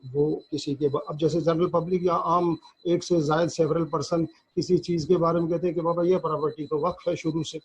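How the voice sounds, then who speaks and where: A man with a Pakistani accent giving a law lecture, halting and deliberate, reading formal text and then explaining it, repeating phrases as he goes. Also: on the high side, little pitch movement, a little mellow, breathy edge, rough recording. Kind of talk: lecture reading legal text aloud in English and explaining it in Urdu.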